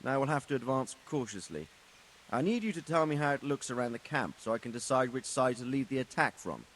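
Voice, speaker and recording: An actor playing an authoritative medieval lord and military commander in a video game cutscene, speaking deliberately. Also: male voice, mid-range voice, very animated, a bit bright, slight background noise, poor audio. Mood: stern, assessing. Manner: authoritative, measured, questioning. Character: commanding, deliberate medieval nobleman leading soldiers.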